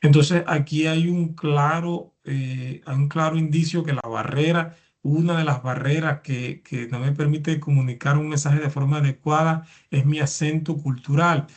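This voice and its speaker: Halting male lecturer, slightly high voice. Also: fairly even intonation. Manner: halting, repeats words and fillers while explaining. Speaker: university lecturer. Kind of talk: recorded academic lecture.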